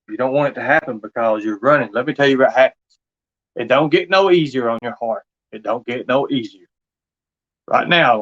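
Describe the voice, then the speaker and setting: On dull, lo-fi livestream audio, a man talks at a middling pitch. He is insistent and repetitive, pressing his points in a confrontational monologue. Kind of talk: impassioned monologue to an absent person.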